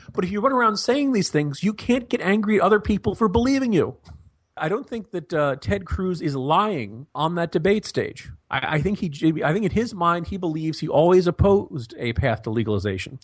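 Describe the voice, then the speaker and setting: An American man with a somewhat high-pitched voice gives political commentary. He is argumentative and emphatic, with a wry conversational turn to his points. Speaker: podcast co-host, political commentator.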